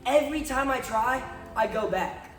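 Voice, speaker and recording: A man's voice: very high voice, moderate pace. A young man playing a troubled teenage boy in readers theatre, earnestly pleading for help. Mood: troubled confession. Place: large carpeted conference room, far camera. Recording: echoey hall, distant microphone.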